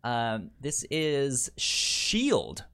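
A man's voice: medium pitch, huge pitch swings, drawn-out words, a bit bright, clean tone, no echo, studio quality. A man chatting casually as a podcast co-host, often stammering and repeating words and syllables. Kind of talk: casual movie podcast chat.

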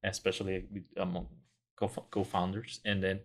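A man from Venezuela, a startup co-founder and guest, talking casually in halting phrases with frequent restarts and laughs. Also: sits low, flat delivery. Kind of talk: casual conversation.

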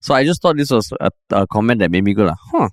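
A man's voice: fairly low pitch, fast talker, dry recording, clean studio sound. A man with a Singaporean accent co-hosting a podcast in casual, chatty talk, often ending lines with "lah". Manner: chatty, animated, sprinkles lah. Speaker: podcast co-host. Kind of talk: casual chat.

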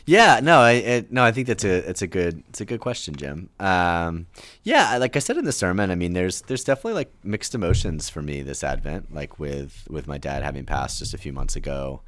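Very deep-voiced man, a bit hoarse. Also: animated, a bit bright, no echo. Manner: reflective, halting, many fillers and restarts. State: slightly hoarse from a cold. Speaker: pastor, podcast guest. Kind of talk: casual conversation.

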